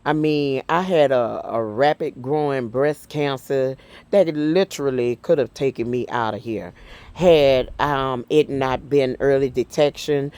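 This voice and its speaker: A middle-aged woman vlogging in an earnest, heartfelt way, with halting speech full of fillers and restarts. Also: very deep voice, darkish tone. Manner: heartfelt and faith-driven. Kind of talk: casual personal talk to camera.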